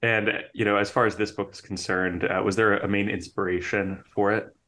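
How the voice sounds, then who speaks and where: A man's voice: lowish voice, flat delivery, normal speed, sharp tone. A man hosting and interviewing on a podcast.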